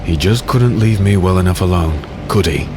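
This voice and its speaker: An actor playing a grim, haunted, secretive warrior in a dark fantasy audio drama, speaking tersely and dramatically. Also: male voice, deep voice, animated, moderate pace, background noise. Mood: brooding. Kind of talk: dark fantasy audio drama, first-person narration and dialogue.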